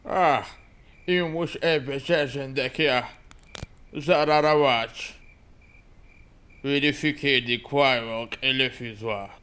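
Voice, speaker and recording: A man voicing a slow-spoken village man in a dubbed cartoon. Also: mid-range voice, fairly even intonation, a bit bright, clean tone. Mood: grumbling.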